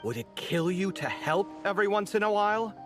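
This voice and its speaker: Dramatic young architect for a game: higher-set voice, sociable, theatrical, quick to sarcasm and exasperation. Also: male voice, animated, over music. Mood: exasperated, sarcastic. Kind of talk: video game character acting.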